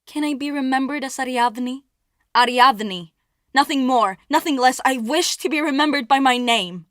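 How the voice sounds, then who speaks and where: A woman playing a trapped, brooding princess of Greek myth in an intense, deliberate, poetic theatrical monologue. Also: high-pitched, a bit bright, no echo, studio quality. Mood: proud, insistent. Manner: slow, intense, deliberate delivery.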